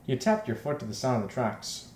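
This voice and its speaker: A man narrates a visual novel as a let's player in a roomy, echoey space with faint noise behind him. His pitch is average, and he mixes storybook reading with loud, repeated exclamations as joking reactions. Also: big pitch swings, brisk.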